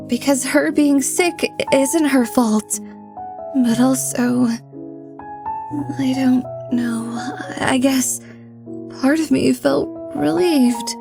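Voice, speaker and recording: Woman as young fire genasi granddaughter, high voice, unhurried, hesitant, earnest, emotional, music behind. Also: gravelly, full character voice.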